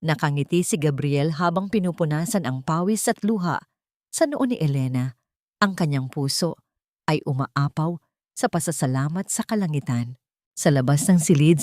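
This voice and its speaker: A woman reading a scripted dramatic audio story as narrator, in a measured, emotive, literary way. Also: sits low, mellow, no echo, clean studio sound.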